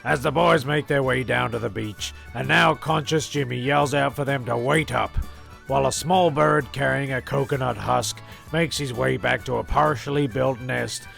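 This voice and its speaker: A man reading scripted comic story narration in a gravelly voice. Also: medium pitch, normal speed, a bit bright, soft background music.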